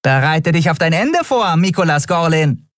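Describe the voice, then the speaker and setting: Man putting on a high, cheeky little winged woman. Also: sing-song, normal speed, no room sound, studio quality. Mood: gloating menace. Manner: playful, gloating, theatrical. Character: tiny winged female card shark, cheeky and teasing. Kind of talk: audiobook character voice.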